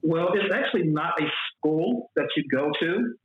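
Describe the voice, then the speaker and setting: A man's voice: a little high, lively intonation, moderate pace. Man from Mississippi, tinny and echoey. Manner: repeats words, loose and rambling. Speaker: guest, flight attendant. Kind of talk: casual interview talk. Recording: echoey room, phone-like audio.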